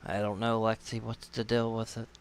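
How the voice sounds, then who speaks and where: A man's voice: lowish voice, monotone, moderate pace, clean tone. A man hosting a live stream with casual commentary, informally muttering his way through things aloud.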